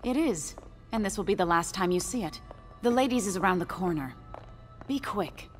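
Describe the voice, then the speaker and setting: A woman's voice: mid-range voice, expressive, moderate pace, soft background music, natural voice. An actress playing a haughty middle-aged woman who runs an art gallery in a video game, clipped and cold. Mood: icy. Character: curt, icy woman art gallery director.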